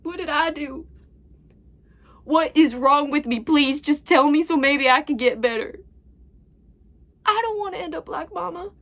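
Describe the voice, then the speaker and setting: A woman's voice: high-pitched, a little slow, pauses often, fairly bright tone. A woman performing a dramatic monologue as a desperate young farm wife confessing to her absent husband in an anguished manner. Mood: pleading, despairing. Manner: anguished, swinging between whispers and outbursts. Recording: distant mic with faint background noise.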